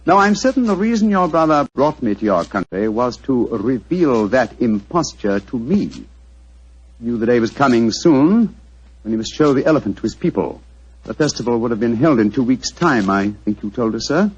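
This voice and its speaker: A man acting a brilliant, self-assured English gentleman detective in a 1940s radio drama, with a British accent and precise, theatrical delivery. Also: medium pitch, expressive, moderate pace, husky. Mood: confident explaining. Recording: muffled, dull 1940s radio recording.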